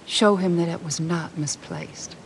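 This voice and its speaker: Serene, manipulative middle-aged cult matriarch, solemn game cutscene delivery, faint background noise. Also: female voice, lowish voice, unhurried. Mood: solemn, persuasive. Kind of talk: scripted game cutscene acting.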